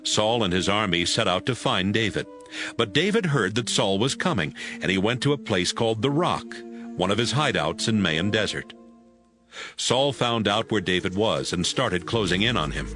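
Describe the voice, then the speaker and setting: A man's voice: lowish voice, lively intonation, normal speed, a bit bright, faint music behind. A man narrating a dramatized audio Bible as a scripted story.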